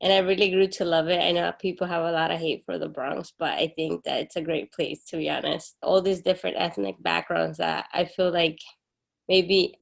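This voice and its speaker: A young woman from Honduras, talking as a guest in a casual interview. Her voice is a bit low with a strong gravelly fry, and she speaks openly and earnestly. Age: young adult.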